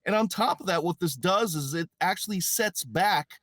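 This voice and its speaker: A man's voice: high-pitched, lively intonation, normal speed, a bit bright, dry recording, studio quality. An American man giving conversational political commentary as a panel guest.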